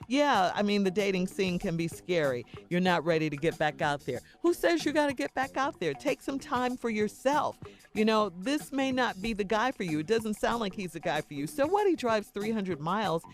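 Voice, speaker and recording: An American woman hosts a morning radio advice segment in a conversational way. She is frank and admonishing, with a middling pitch for a woman. Music plays under her voice. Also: big pitch swings, moderate pace. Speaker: radio host.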